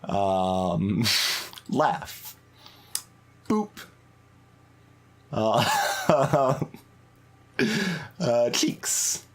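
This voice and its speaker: A streamer giving casual playthrough commentary, halting and musing aloud. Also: medium pitch, big pitch swings. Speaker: video game streamer.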